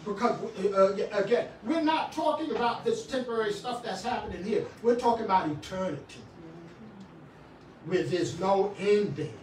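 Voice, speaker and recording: A middle-aged man preaching a sermon in a small church, swinging from shouts to quiet talk with many restarts. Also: high voice, normal speed, slight background noise. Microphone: far camera. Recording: echoey room, far from the camera.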